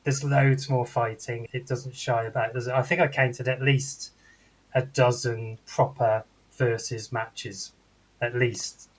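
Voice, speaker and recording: A man's voice: mid-range voice, level tone, a little slow, low-quality recording. A man talking casually as a panelist on a film review podcast.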